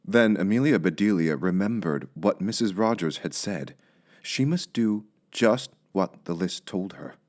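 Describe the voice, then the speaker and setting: A man's voice: sits low, animated, unhurried, darkish tone. A man narrating a children's story from a script as an audiobook reader.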